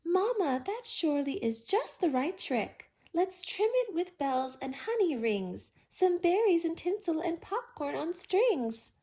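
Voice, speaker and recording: Woman voicing an eager, animated papa bear. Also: extremely high pitch, measured pace, hardly any pauses, pitched above the performer's own voice, exaggerated voice. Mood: delighted. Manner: cheerful, folksy. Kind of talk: children's storybook read-aloud.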